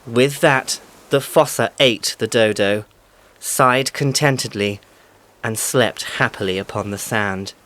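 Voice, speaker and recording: Male storyteller, mid-pitched and measured. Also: fairly bright tone. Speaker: narrator. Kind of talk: scripted storybook narration.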